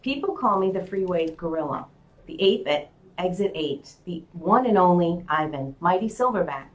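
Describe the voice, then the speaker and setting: Lower-voiced woman reading a storybook. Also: lively intonation, normal speed, lo-fi sound. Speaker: school community member reading to students. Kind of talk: storybook read-aloud in first person.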